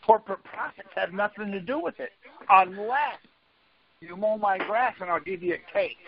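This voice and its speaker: A man with a notably high voice argues his points on a group call. He is insistent, repeats himself and cuts in. The audio is dull and lo-fi. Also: normal speed, vocal fry. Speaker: conference call participant. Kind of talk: argumentative explaining on a group call. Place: group phone conference call.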